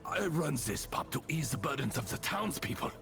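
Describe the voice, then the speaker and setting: A man's voice: fairly high pitch, big pitch swings, moderate pace, vocal fry, music in the background. A man voicing an anxious, earnest village pub keeper in a video game. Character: anxious, earnest male townsman keeping a pub.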